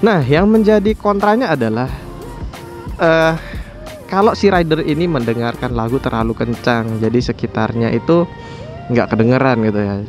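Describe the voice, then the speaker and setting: A man's voice: medium pitch, moderate pace, a little mellow, faint music behind. A man talking casually to viewers as a motorcycle vlogger, explaining things conversationally and often checking in with his listeners. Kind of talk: casual motovlog talk to viewers.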